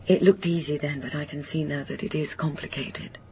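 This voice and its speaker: A woman's voice: low-pitched, fairly even intonation, a little fast, mellow, slight background noise, natural voice. A woman playing a haunted, wistful older woman burdened by guilt, speaking hesitantly and reflectively in a radio drama. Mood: wistful, subdued. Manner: hesitant, reflective, breaking into near whispers. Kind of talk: naturalistic radio drama acting.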